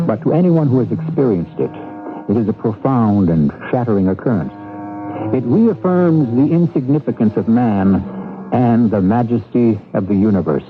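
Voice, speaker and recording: A muffled old radio broadcast with music behind a man narrating as mystery host. He sounds grandiose and theatrical, with sweeping intonation and measured phrases. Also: fairly low pitch.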